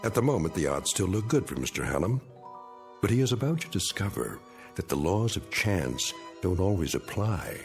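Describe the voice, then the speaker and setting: A man narrating a radio drama in a measured storytelling manner. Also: low-pitched, sing-song, soft background music.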